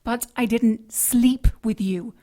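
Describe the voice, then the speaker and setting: A woman's voice: a little high, fairly even intonation, slow, mellow. A woman playing an earnest, spirited sidekick in an audio drama, questioning and easily flustered or indignant.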